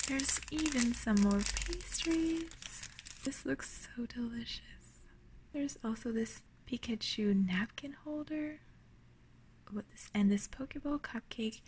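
Woman, breathy whisper, slow, gentle, fairly high, faint hiss behind. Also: poor audio. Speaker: ASMR unboxing host. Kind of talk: ASMR soft whispering. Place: indoors at a table. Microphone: close mic on a tabletop.

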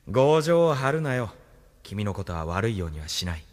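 An actor playing a smug, taunting military interrogator in a Japanese audio drama, with a mocking, condescending manner. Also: male voice, on the low side, sing-song, moderate pace. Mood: smug, probing. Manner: mocking, smooth, chuckling condescension. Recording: long reverberant hall effect.

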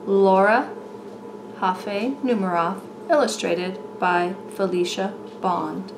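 A woman reading a children's story aloud in an expressive, deliberate way, drawing out words for young listeners. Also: mid-range voice, noisy background. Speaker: storybook reader.

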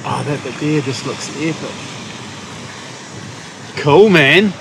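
A man's voice: fairly high pitch, lively intonation, takes it slowly, creaky. A man chatting casually while fishing on a rocky sea coast, laughing often and cheering his mates on. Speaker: vlog host. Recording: wind and waves, noisy outdoor camera mic.

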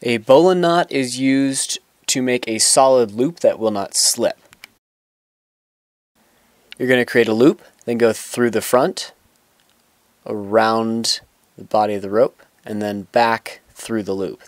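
A young man explains knots step by step in a plain, instructional voice of medium pitch. He now and then restarts a sentence mid-thought. The sound is dry and close, with little room around it. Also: slow, no room sound. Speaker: knot-tying instructor. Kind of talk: step-by-step tutorial. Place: outdoors on grass.

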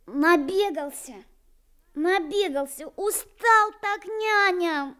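A woman's voice: very high voice, slow pace, pushed and bright, exaggerated voice. A woman playing an earnest, childlike little boy in a Soviet radio play. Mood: sleepy, dutiful. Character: earnest little boy Vasya, an admiral's son.

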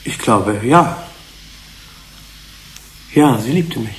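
An actor playing a sensitive, protective researcher in a German radio drama, earnest and emotional. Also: male voice, medium pitch, expressive, very slow, low-quality recording. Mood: wounded, denying.

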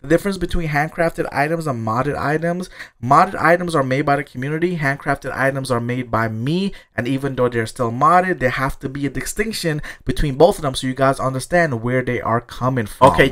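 A man with a slightly raspy voice from a sore throat, giving an upbeat, thankful voice-over for a video game showcase while promoting his loot packages. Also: mid-range voice, fairly bright tone. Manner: upbeat, thankful, salesy and repetitive. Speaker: gaming YouTuber selling loot packages. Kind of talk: casual gameplay showcase commentary. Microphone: voice-over over gameplay.

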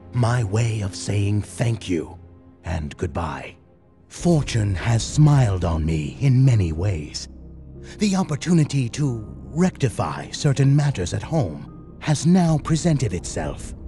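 A man's voice: medium pitch, mellow. A man playing a suave, composed cartoon crime lord posing as a benefactor, giving a polished formal speech.

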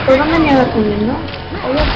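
A woman's voice: sits high, moderate pace. A woman with a Chilean accent chatting casually during a multiplayer video game. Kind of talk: casual multiplayer gaming chat. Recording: loud game noise and crowd din. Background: zombie game noise and horde din.

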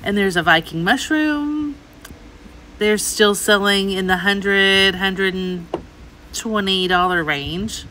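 A woman's voice: medium pitch, takes it slowly, bright, forceful tone, a little hiss or hum, rough recording. A middle-aged woman giving casual, chatty shopping vlog commentary, gushing over the items she looks at. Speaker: host, antiques reseller. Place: inside an antique mall.

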